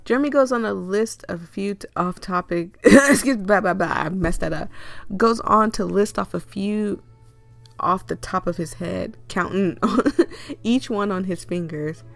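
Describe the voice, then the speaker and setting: A woman with a cold and a hoarse, fading voice, reading a visual novel aloud with chatty asides. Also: mid-range voice, measured pace, soft background music. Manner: chatty, laughs and coughs often, rambling asides. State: hoarse, losing her voice with a cold. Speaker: game reader and streamer.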